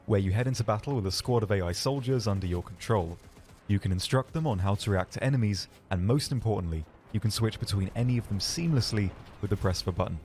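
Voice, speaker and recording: A man narrating a scripted game showcase as a developer, explaining features in a measured way. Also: on the low side, darkish tone. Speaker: game developer presenting his team's game. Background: background music.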